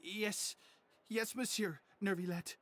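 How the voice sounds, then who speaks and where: An actress playing a nervous, guilty underling in a fantasy video game, hesitant and stammering. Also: female voice, medium pitch, steady tone, takes it slowly, a little hiss or hum, lightly acted. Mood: nervous, defensive.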